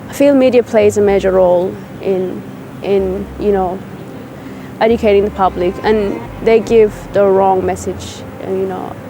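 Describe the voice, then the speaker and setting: A woman's voice: mid-range voice, steady tone, unhurried, noisy background. A young woman, speaking thoughtfully in a documentary interview outdoors in a park. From South Sudan. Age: young adult.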